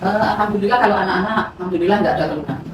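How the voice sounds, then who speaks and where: A woman's voice: mid-range voice, racing. A woman in her fifties with a Javanese Indonesian accent, giving casual answers in an interview. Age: 50s. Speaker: interviewee, mother of the household. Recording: very noisy, echoey, far from mic.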